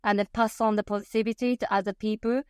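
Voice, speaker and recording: A woman's voice: medium pitch, little pitch movement, fast talker, darkish tone, clear, resonant tone, dry recording, clean studio sound. A woman in her fifties from Japan with a Japanese accent, a passionate interview guest who often laughs and corrects herself mid-sentence. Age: 50s. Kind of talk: conversational interview.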